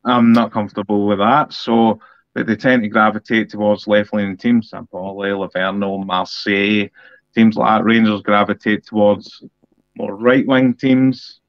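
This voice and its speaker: A man's voice: fairly low pitch, level tone, moderate pace, no room sound. A man with a Scottish accent in a casual political discussion, talking bluntly and emphatically and repeating points for effect. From Scotland. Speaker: political podcast co-host. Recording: dull, dry lo-fi audio.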